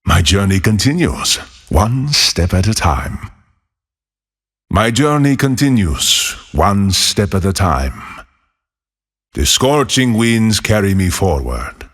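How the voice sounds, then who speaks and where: An actor playing a solitary, haunted former monk turned lawless desert wanderer in a video game, speaking in a solemn, measured, husky voice. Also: male voice, on the low side, very animated, a bit bright, exaggerated voice. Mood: brooding, solemn. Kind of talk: scripted game character voicelines.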